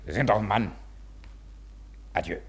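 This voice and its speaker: An actor playing a dignified, formal Prussian army captain, terse and restrained, in an old German radio play. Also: male voice, lowish voice, animated, moderate pace, close to an everyday voice. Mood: stern, restrained. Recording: faint hiss of old radio recording.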